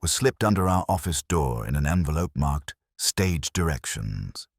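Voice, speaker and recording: Man acting brisk female journalist, insistent, businesslike, very low pitch, varied intonation, detective story. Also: big pitch swings, no echo, studio quality, full character voice.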